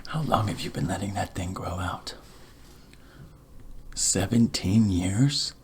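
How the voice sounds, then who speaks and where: A man speaking softly in a breathy half-whisper, gently soothing and attentive, as a barber in an ASMR roleplay. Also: sits low, slow, a little hiss or hum. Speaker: ASMR performer playing a barber.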